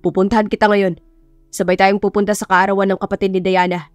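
A woman's voice: medium pitch, flat delivery, quick pace, no echo, soft background music, natural voice. A woman voicing a stern, reserved but caring male professor, curt and authoritative, in an audio romance. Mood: questioning, bossy.

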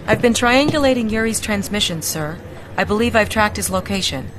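A woman's voice: medium pitch, animated, fairly quick, fairly bright tone, slight background noise, natural voice. A young woman playing an earnest, dutiful military lieutenant in a game cutscene, speaking formally. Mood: focused. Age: young adult. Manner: formal, crisp military reporting.

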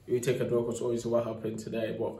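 Soft-spoken young man in an echoey room. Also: medium pitch, monotone, fast talker. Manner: soft-spoken, many fillers, leaning on "obviously". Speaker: footballer. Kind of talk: post-match interview. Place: indoor press room in front of a sponsor board. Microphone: phone held near by the reporter.

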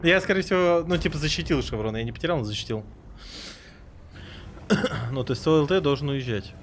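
A man streaming a game live, chatting with viewers in a rambling way and reacting loudly to the action. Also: a little high, a little fast, slight background noise. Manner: excitable, rambling, swears, jumps between chatting and reacting to the game. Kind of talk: live gameplay commentary and chat.

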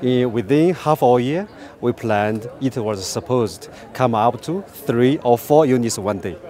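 Mellow, halting Chinese-accented man. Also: medium pitch. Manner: halting, repeats words and phrases. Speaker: company representative being interviewed. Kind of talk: unscripted press interview. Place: outdoors beside a parked truck.

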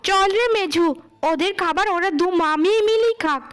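Lady doing a jealous older sister in a cartoon, really high voice, always griping and picking fights. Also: moderate pace. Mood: indignant. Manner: sharp-tongued, complaining. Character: jealous, quarrelsome eldest sister, young woman. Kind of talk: cartoon story acting.

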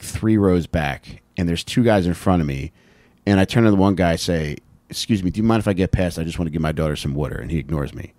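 An American man co-hosting a podcast, chatting casually and comedically with animated, sweary storytelling into a close handheld mic. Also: low-pitched, no room sound. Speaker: podcast co-host. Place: small indoor podcast room with couches. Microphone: handheld microphone held close.

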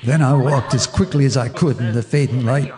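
An actor narrating as an old neighbor recalling dark events, grave and measured, in a radio drama. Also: male voice, medium pitch, lightly acted. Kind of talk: scripted storytelling narration.

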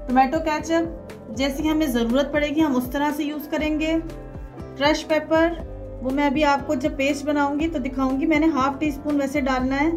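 A woman's voice: high voice, fairly even intonation, normal speed, music in the background. A woman presents a home recipe step by step, conversational and instructional, mixing in English words. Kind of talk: step-by-step cooking tutorial voice-over.

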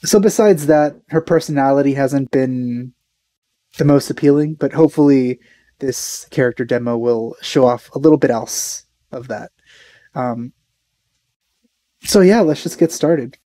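A man's voice: mid-range voice, a little slow, warm tone, no room sound. A young man giving casual, understated reaction commentary as a video host, musing and hesitant as he goes. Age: young adult.

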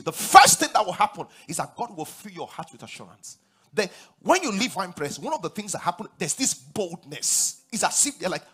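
A Nigerian man in his forties preaches from a large church stage through a headset mic. His voice sits a little high and sounds husky. He moves between quieter stretches and loud, emphatic declarations. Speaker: pastor. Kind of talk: sermon.

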